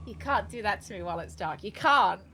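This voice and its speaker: A woman doing casual live game commentary, excitable and playful, reacting aloud and swearing freely. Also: medium pitch, brisk, background noise. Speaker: game streamer. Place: indoor room, live gaming stream.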